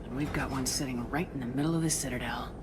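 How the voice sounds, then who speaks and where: An actress playing a tough, no-nonsense space military commander in a video game, speaking in a blunt, commanding way. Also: female voice, a bass voice, fast, background noise. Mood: firm, commanding.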